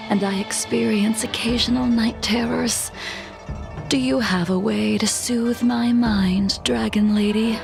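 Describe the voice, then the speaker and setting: A woman voicing a cold, haunted woman warrior in a video game, calm, formal and measured. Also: medium pitch, vocal fry. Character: cold, composed blindfolded woman warrior haunted by her past. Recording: music playing behind the voice.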